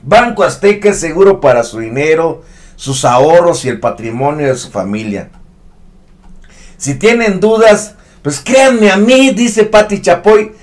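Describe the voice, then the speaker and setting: Man, thirties, fairly high voice, indignant news commentary, emphatic. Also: lively intonation, a little slow. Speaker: host of an online news commentary show. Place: studio set with a news backdrop.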